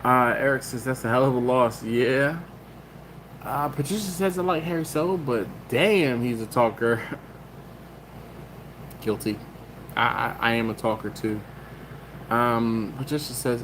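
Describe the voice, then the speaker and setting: A man's voice: mid-range voice, unhurried, pauses often, a bit bright, slight background noise, low-quality recording. A man hosting a casual livestream, reading chat comments aloud and rambling with laughs and restarts. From Maryland, US. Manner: rambling, laughs often, self-interrupting.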